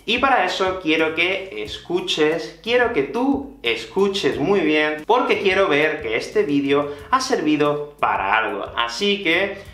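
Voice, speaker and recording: A Spanish man in his thirties teaching Spanish to camera, lively and gesturing, repeating phrases for learners. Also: a little high, slow pace, few pauses, echoey room. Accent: Spanish from Spain. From Spain. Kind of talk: YouTube language lesson to camera. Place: small room with whiteboard and shelves, indoors.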